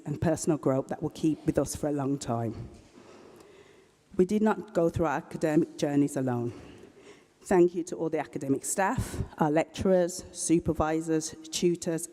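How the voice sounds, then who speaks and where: An interpreter voicing a graduate's speech at a ceremony, delivered in a measured, earnest way with small self-corrections. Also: medium pitch, mellow. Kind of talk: formal speech read in a public ceremony. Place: large graduation hall. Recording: long hall reverb.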